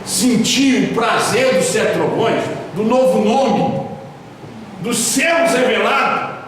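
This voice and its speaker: A Brazilian pastor with a southern Brazilian accent preaching a sermon in a church hall, with sudden loud emphasis and slowly drawn-out stresses. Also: male voice, sits high, a little hiss or hum. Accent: southern Brazilian Portuguese. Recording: echoey church hall from far off.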